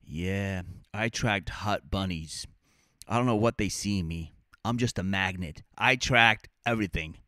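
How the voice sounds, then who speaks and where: A man's voice: deep voice, moderate pace, sharp tone, dry recording. A man with an Armenian accent co-hosting a comedy podcast, chatting casually in a rambling, mock-boastful way. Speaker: comic and podcast co-host.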